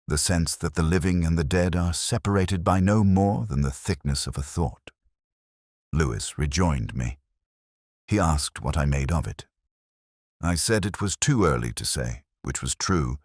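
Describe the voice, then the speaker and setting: A man with an extremely deep voice narrates a detective story. His delivery is measured and literary, with a brooding air and plenty of melodic movement. The sound is dry and free of room. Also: no room sound. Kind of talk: scripted first-person detective story narration.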